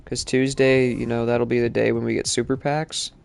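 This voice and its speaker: A man's voice: mid-range voice, flat delivery, moderate pace, vocal fry. A male streamer chatting casually while playing, sounding congested from allergies and rambling with lots of fillers. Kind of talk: live-stream gaming chat.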